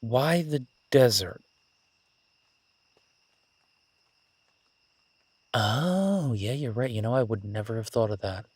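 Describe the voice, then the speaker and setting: An excitable young male academic, acted by a man in an immersive audio roleplay. He gushes and stammers apologetically like a bookish explorer new to the field. His mid-pitched voice is recorded close and dry. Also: normal speed, husky, no room sound. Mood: conversational, wary. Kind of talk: immersive roleplay audio drama, one-sided conversation.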